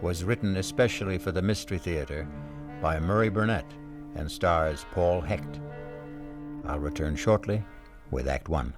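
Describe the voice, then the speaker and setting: A man hosting a 1970s radio mystery show, introducing it in a grave, deliberate, theatrical way. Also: low-pitched. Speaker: host. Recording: archival radio broadcast with music.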